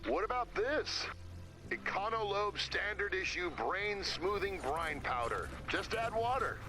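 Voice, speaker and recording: An actor voicing an enthusiastic oddball man in an animated video game, savouring his florid lines. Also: high voice, a little slow. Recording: background ambient noise.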